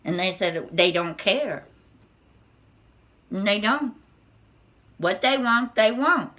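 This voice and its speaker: A woman with a squeaky, bright, sharp-edged voice gives an unscripted spiritual talk to camera. She talks nervously and haltingly, often restarting and repeating words. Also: expressive. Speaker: spiritual tarot vlogger.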